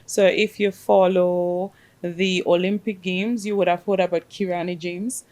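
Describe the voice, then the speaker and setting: Woman, from the Caribbean, Spanish first language, lower voice, chatty with um pauses. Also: fairly even intonation, a little mellow. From Caribbean, grew up in Grenada. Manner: chatty storyteller, frequent "um" pauses. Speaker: guest, fintech project manager. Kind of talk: casual interview chat.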